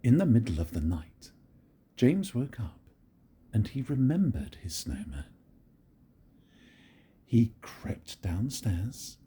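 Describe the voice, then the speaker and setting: Older grandad reading a bedtime story, husky breathy voice, goes way up and down. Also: male voice, medium pitch, takes it slowly, pauses often. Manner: gentle, very expressive storytelling. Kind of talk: reading a picture book aloud.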